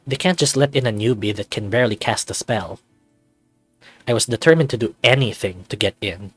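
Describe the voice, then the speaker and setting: A man's voice: lowish voice, a little fast, fairly bright tone, slightly rough, no echo, faint music behind. A man voicing a sarcastic, anxious young furry student in a visual novel, narrating in a wry, self-deprecating, conversational way. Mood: wry musing. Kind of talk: visual novel character voice-over.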